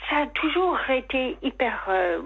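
A woman with a slight English accent calls in to a radio show. She speaks slowly and haltingly, stopping often as she works through something painful. Her voice comes through a muffled, lo-fi phone line. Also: high-pitched, big pitch swings, husky. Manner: hesitant and halting, many fillers and restarts, emotional. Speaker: caller. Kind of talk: personal confession on call-in radio. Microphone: telephone line.

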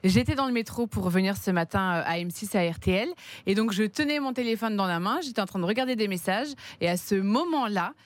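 A woman's voice: mid-range voice, animated, brisk, no room sound. A woman from a radio team, telling anecdotes in casual chat. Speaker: radio show team member. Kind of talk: casual animated storytelling.

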